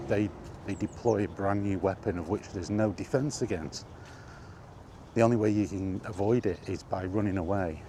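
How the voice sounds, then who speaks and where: Deep-voiced man rambling on a walk. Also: warm tone, a little hiss or hum. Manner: rambling, self-correcting, grows exasperated. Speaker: vlogger. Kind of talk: casual walking monologue. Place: outdoors on a walk.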